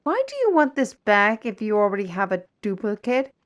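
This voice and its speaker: Lady playing a dry wry fantasy courier woman, medium pitch, explains stuff like an audio drama. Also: very animated, normal speed, close to an everyday voice. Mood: exasperated.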